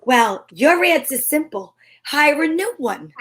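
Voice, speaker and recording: A woman's voice: high voice, very animated, takes it slowly, a bit bright, exaggerated voice. A woman playing a breezy, wisecracking woman detective who narrates her case in an amateur old-time radio drama, wry and slangy. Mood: teasing.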